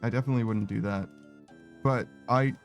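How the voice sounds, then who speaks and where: A man's voice: medium pitch, fairly even intonation, fairly quick. A young man streaming a game, rambling casually with many restarts and fillers. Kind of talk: casual chat while gaming. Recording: faint music behind.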